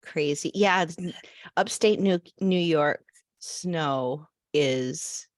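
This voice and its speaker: Low-voiced expressive woman co-host. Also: slow, no room sound, studio quality. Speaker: podcast co-host. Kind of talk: casual chat.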